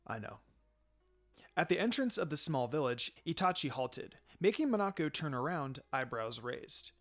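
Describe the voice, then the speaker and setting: Animated, higher-pitched man narrating a story. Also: moderate pace, soft background music. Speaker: fan fiction reader. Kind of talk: dramatic storybook narration of fan fiction.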